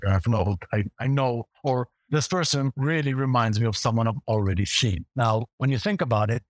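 A man's voice: medium pitch, no room sound. A man with a strong Israeli accent, a native Hebrew speaker, explaining fraud detection as a guest in a podcast interview, walking through vivid everyday examples.

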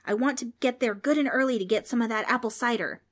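Booming impatient demon, pitched high, by a woman. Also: quick pace, lightly acted. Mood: impatient, demanding. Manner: booming, demanding shouts. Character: gruff, impatient demon banging to get in.

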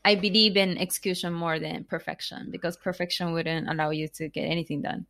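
Chatty Japanese-accented woman, low voice. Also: little pitch movement, brisk, fairly bright tone. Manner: chatty, with many fillers, restarts and laughs. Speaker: guest author and entrepreneur. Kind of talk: casual interview conversation.

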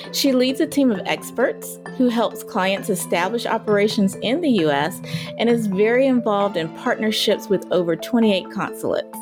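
Upbeat woman podcast host. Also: mid-range voice. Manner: upbeat and enthusiastic. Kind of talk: scripted intro then casual interview.

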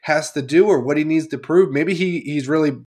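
A man's voice: on the high side, normal speed, a little mellow. A man hosting a casual sports podcast, a little nasal as he gets over a cold, upbeat and chatty. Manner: upbeat, chatty, laughs easily. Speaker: podcast host.

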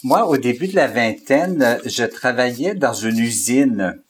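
A man's voice: medium pitch, animated, a little slow, slight background noise. A man in his fifties from Alberta with a Canadian French accent, a Jesuit guest telling his story in an interview. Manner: reflective, recounting with rising animation.

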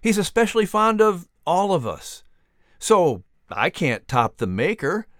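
A man's voice: high voice, big pitch swings, unhurried, fairly bright tone, dry recording, studio quality. A man who narrates audiobooks, doing scripted announcing as a podcast host.

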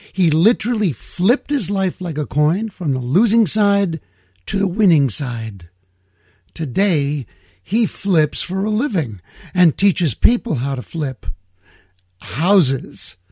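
Middle-aged male host, husky mellow voice, measured and talkative. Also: a little high, no echo, clean studio sound. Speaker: podcast host. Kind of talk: scripted intro then casual interview.

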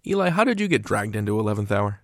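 A man hosting an interview, asking brisk questions and introducing pieces. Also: fairly low pitch, very animated, dry recording, clean studio sound. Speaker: podcast host.

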